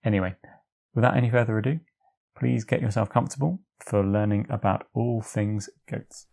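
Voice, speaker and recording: Low-voiced gravelly male podcast host. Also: steady tone, moderate pace, a little mellow. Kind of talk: scripted narration and friendly interview.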